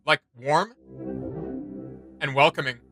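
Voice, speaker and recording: High-voiced enthusiastic man chatting over music. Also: steady tone, slow pace. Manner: enthusiastic, rambling, frequent "like" filler. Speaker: reaction video host. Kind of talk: casual excited chat reacting to game sounds.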